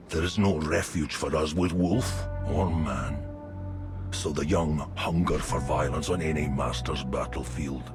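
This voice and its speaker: An actor playing an old, weary, grim werewolf in a video game, with a gravelly breathy voice and slow, ominous, archaic phrasing. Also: male voice, very deep voice, heavily acted. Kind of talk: video game dramatic monologue.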